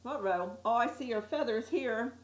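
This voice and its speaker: A woman reading a children's picture book aloud as an expressive storyteller, with little asides to her listeners. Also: fairly high pitch, normal speed, a little hiss or hum. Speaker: story time reader. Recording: echoey room.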